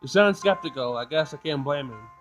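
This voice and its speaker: A man's voice: a little high, animated, a little fast. A man hosting a let's play, reading game text aloud expressively, stumbling and rereading, and adding his own commentary. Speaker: gaming video host. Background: game music.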